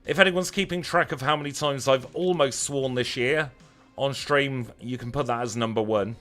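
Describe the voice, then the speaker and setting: A man's voice: medium pitch, moderate pace, fairly bright tone. Chatty Lancashire man livestreaming over music. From Lancashire, northern England. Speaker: live streamer playing a video game. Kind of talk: casual livestream chat over gameplay.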